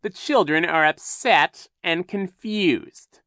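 A man's voice: fairly high pitch, pushed and bright, dry recording, studio quality, voice pushed up, full character voice. A man voicing a menacing villainous sea captain in an audiobook, sneering and falsely sweet, drawing out his words. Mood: oily false concern. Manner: falsely soothing, sneering, drawn-out delivery.

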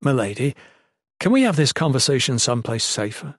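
A man voicing a steady, dutiful male protector in an audiobook, speaking with restrained, formal courtesy. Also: mid-range voice, fairly quick, no echo, clean studio sound. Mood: guarded.

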